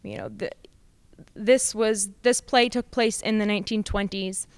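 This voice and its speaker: A young woman in her late teens gives an earnest, informal interview answer as a student actor. Her voice is exceptionally clean and resonant at a medium pitch, in a dry recording. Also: steady tone, a little slow, smooth, no room sound.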